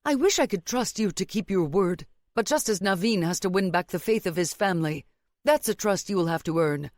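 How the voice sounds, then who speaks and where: A woman's voice: lowish voice, no room sound, clean studio sound, natural voice. Woman narrator as a cool, menacing family matriarch. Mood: quiet threat. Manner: cool, calm, measured, menacing. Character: stern, coolly angry Indian mother-in-law, family matriarch.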